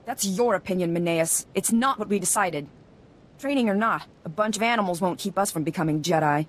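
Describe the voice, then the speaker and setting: An actress playing a brash, combative young trainee in a video game. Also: female voice, on the low side, fairly quick, slight background noise, natural voice. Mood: defiant. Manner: brash, insistent.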